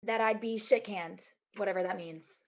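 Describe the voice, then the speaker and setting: A woman's voice: medium pitch, moderate pace, gravelly, natural voice. A woman playing a sarcastic, streetwise assistant in her mid-twenties, reading a screenplay with dry delivery. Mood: dry, self-deprecating. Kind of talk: screenplay table read acting.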